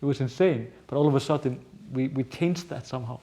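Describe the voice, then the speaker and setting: A man's voice: mid-range voice, fast, dark tone. An Icelandic man with a strong Icelandic accent, co-hosting a podcast and telling a story casually, with many restarts. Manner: hesitant, many restarts, laughs often. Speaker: podcast co-host, journalist.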